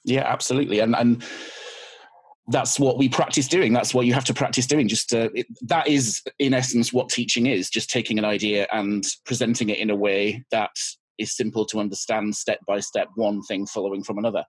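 A man's voice: on the low side, fairly even intonation, a little fast, breathy edge, no echo, low-quality recording. A man, a physics teacher talking as a guest in a casual interview, hesitant and dry, with many ums. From Yorkshire, England. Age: 40s.

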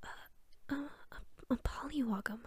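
A woman voicing a frightened young princess, pleading and halting, in an audio story. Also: high-pitched, dry recording, whispered, pitched above the performer's own voice. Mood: fearful.

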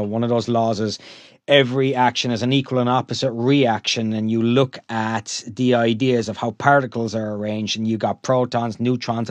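A male podcast host muses at length in a loose, rambling way. He leans on filler phrases as he works through an idea. His voice sits in a middle pitch and sounds dry and close. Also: darkish tone, no room sound. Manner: rambling, musing, leans on "you know". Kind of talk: casual interview talk.

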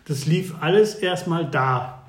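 A man chatting casually as a podcast co-host, reminiscing haltingly and often checking that his listener follows. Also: a little high. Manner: halting, searching for words, seeks confirmation. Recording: echoey room.

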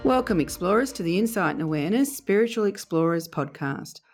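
Woman podcast host, lowish voice, gentle questions, brief acknowledgements. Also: lively intonation, brisk, soft background music. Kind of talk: podcast interview.

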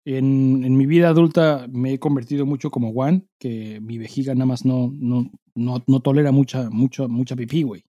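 A man's voice: mid-range voice, steady tone, moderate pace, hardly any pauses, warm tone, no room sound, lo-fi sound. A man with a Mexican accent, a podcast co-host who speaks in a slangy, sweary style. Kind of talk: casual podcast chat; dramatized reading of a listener email over music.

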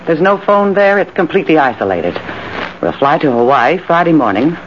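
A woman's voice: low voice, big pitch swings, moderate pace. An actress playing a cold, ruthless, commanding woman schemer in 1940s radio drama style, giving decisive orders. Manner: decisive, domineering, crisp orders. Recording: noisy, muffled old radio recording.

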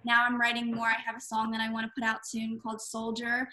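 A woman's voice: a little high, completely flat delivery, fast, sharp tone. A woman in her twenties, a singer-songwriter guest, chatting eagerly with fillers and restarts. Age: 20s. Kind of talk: casual interview.

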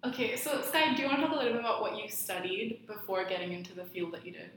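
A woman's voice: mid-range voice, lively intonation, quick pace, a bit bright, slight background noise. A woman hosting a podcast who asks brief, prompting questions. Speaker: podcast host. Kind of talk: casual interview. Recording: very echoey room.

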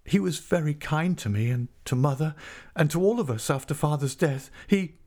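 A man's voice: on the high side, animated, darkish tone, voice pushed up. A man voicing a distraught young woman, bitter and pleading, in an impassioned audiobook reading of a classic novel. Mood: mournful. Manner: impassioned, repetitive, halting pleas.

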